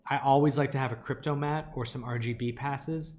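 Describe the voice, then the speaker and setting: Guy teaching an online class, casual and explanatory, voice a bit raspy and worn out, medium pitch. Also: fairly even intonation, a little fast, darkish tone. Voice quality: slightly raspy. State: voice tired from just lecturing. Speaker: animation lighting instructor. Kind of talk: informal lecture to online students.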